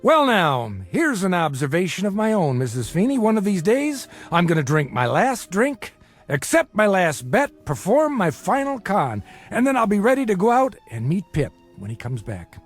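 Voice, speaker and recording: An actor playing a boozy middle-aged small-time bookie in an audio drama, a glib, self-deprecating charmer and doting father given to theatrical flattery. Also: high voice, normal speed, soft background music, heavily acted. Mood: breezy, flattering.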